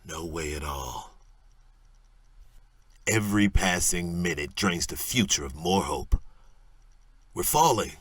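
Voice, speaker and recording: Slow, despairing, unstable gunman. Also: low voice, expressive, fairly bright tone, slightly rough, no room sound, heavily acted. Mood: bleak despair. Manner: halting, stammering, brooding. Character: despairing, unstable male gunman, halting and desperate. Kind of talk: dramatized audio story.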